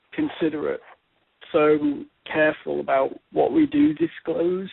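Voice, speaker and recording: A man speaking as an astrological reader in a conversational debate, choosing words in a measured, halting, deliberate way. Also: fairly high pitch, vocal fry, slightly rough, no echo. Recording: tinny, band-limited radio or phone-line audio.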